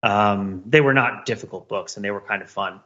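A man's voice: lowish voice, moderate pace, a bit bright, muffled recording. A middle-aged man co-hosting a podcast, chatting casually and reflectively in a discursive way, often correcting himself. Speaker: podcast co-host.

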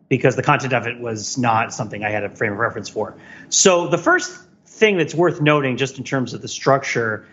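A man's voice: mid-range voice, a bit bright, dull audio. A man co-hosting a podcast, discussing film analytically in a wordy, thinking-aloud way, often repeating himself and checking in with "right?". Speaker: podcast co-host.

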